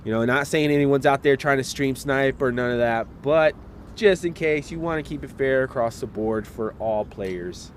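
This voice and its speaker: A man casting a live esports match, relaxed and chatty, talking straight to viewers while getting over a slight cold. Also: mid-range voice, moderate pace, a little hiss or hum. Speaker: esports caster.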